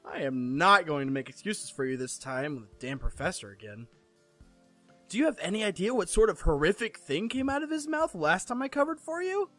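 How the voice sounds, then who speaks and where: A man's voice: sits high, big pitch swings, a little fast, faint music behind, voice pushed up. A man voicing a bossy, teasing teen boy. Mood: mock scolding. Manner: loud, mock-stern scolding. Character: bossy teenage best friend Lucas, teasing and theatrical. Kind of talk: fan voicing of a visual novel.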